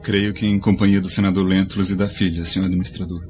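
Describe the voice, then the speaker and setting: A man playing a quick, deferential slave. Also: low-pitched, level tone, faint music behind, natural voice. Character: obedient male slave, quick and respectful. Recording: dull lo-fi audio with faint score.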